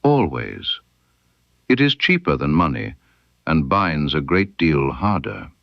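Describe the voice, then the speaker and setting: A man voicing a cool, knowing woman informant in a mystery story, speaking softly and deliberately with guarded amusement. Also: rumbling low, very animated, gravelly, dry recording, muffled recording, heavily acted. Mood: cold, firm. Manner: soft, deliberate, veiled warnings.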